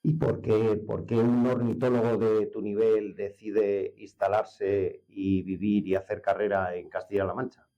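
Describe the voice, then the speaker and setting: A man with a Spanish accent hosts an informal interview. His voice sits fairly low and is mellow in tone. He talks conversationally, on familiar terms with his guest, through slightly dull sound. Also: little pitch movement, a little slow, few pauses. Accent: Spain. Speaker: interview host.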